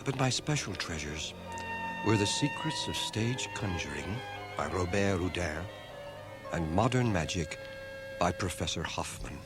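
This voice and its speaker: A man's voice: sits low, expressive. An actor playing a grown man recalling his boyhood with wry humor, as scripted radio drama narration. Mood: wry, reminiscent. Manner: wry, measured storytelling. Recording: old radio cassette with music underneath. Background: music.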